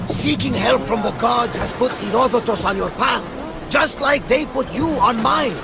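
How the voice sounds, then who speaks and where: Gravelly, high-pitched, hearty sea captain. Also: male voice, moderate pace, music in the background, crowd noise. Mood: welcoming, reverent. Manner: booming, exclamatory. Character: hearty, devout, blustering older Greek male sea captain. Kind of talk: dramatic video game dialogue. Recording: noisy outdoor ship ambience behind voice.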